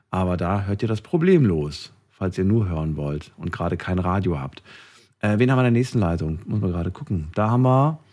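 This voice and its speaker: Male phone-in host, low and somewhat raspy, gentle and informal with callers. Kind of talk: casual phone-in talk.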